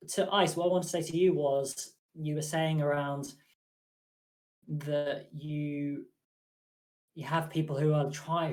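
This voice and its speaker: A man who is a psychotherapist, speaking in a measured, reflective way on a podcast. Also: fairly high pitch, poor audio. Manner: measured, thoughtful, even-toned. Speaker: psychotherapist and poker player.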